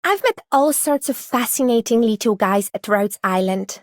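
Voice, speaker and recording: Woman as proud firm trainer lady from a game, highish voice, no echo. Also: expressive, normal speed, clean studio sound, natural voice. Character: proud, firm female combat instructor. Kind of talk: scripted game character voice lines.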